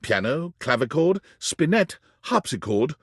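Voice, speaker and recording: A man's voice: fairly high pitch, very animated, measured pace, breathy edge, no room sound, studio quality, heavily acted. A man playing an erudite, theatrical narrator in a whimsical game, delivering florid scripted lines with precise wording. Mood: storytelling, measured.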